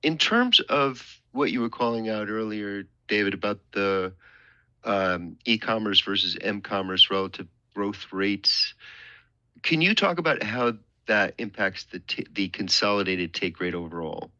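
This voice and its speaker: A man's voice: fairly low pitch, measured pace, pushed and bright, no echo. A male financial analyst asking an unscripted question over a dull, lo-fi conference call line.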